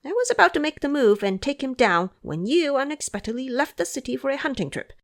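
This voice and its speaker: 여자가 연기하는 능청스럽고 활달한 원숭이 제자, 억양 변화가 큼. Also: female voice, sits high, very animated, fairly quick, pitched above the performer's own voice. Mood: earnest, animated. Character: cocky quick-witted male monkey disciple, persuasive and brash. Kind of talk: audio drama character acting.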